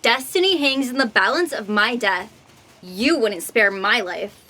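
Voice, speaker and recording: A woman's voice: fairly high pitch, unhurried, sharp tone. A woman playing a miserable, defiant little bird in a comic audio drama, sullen and taunting with a dramatic delivery. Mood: evasive, smug.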